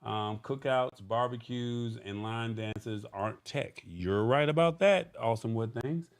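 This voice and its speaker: A man's voice: mid-range voice, unhurried, clear, resonant tone. A man hosting a live show in casual talk, conversational and thinking aloud as he goes. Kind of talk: casual livestream talk, reading viewer comments.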